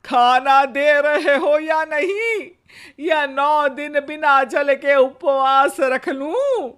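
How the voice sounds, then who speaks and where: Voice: high-pitched, very slow, fairly bright tone, pitched above the performer's own voice, full character voice. कलाकार की आवाज़ में चिड़चिड़ी, नाटकीय बूढ़ी सास, ऊँची आवाज़. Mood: threatening sulk. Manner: whiny, indignant, dramatic protesting. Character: gluttonous, sulky, melodramatic old mother-in-law. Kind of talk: comic moral story dramatization.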